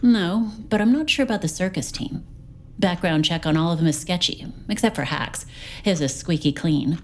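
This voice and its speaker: A woman playing a wry, capable investigator officer, reporting in a brisk, matter-of-fact way. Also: on the low side, animated. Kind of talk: audio drama acting.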